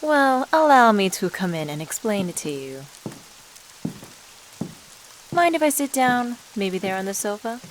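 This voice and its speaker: A woman playing an enigmatic, suave stranger in a scripted ASMR roleplay, speaking to the listener in a measured, teasing, condescending and knowing way. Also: mid-range voice, big pitch swings, slight background noise, lightly acted, rough recording. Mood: coy, persuasive. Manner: measured, teasing, rhetorical questions, condescending endearments.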